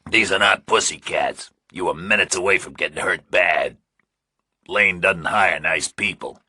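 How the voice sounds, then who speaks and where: Guy doing a weary bitter ex marine in an audiobook, gruff and blunt, really husky, dry close sound. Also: low voice, measured pace, sharp tone, dry recording, exaggerated voice. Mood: bitter, resigned.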